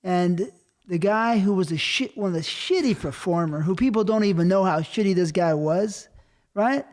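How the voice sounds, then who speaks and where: High-voiced guy in his 50s hosting, blunt and foul-mouthed, jumps in a lot. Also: lively intonation. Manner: blunt and profane, quick interjections. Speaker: host. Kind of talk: casual interview chat.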